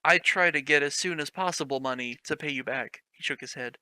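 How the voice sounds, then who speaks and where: A man with a piercingly bright, fairly high voice reads a badly written story aloud, mocking its phrasing. He swears casually and keeps cracking himself up. The sound is close and dry. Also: brisk, clear, resonant tone, dry recording. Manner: mocking, giggly, swears freely. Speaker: reader of a fan fiction. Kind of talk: comedic dramatic reading with commentary.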